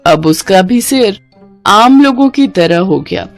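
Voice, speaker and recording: A woman's voice: medium pitch, animated, slow. A woman narrating a scripted cartoon story as the storyteller. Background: faint music.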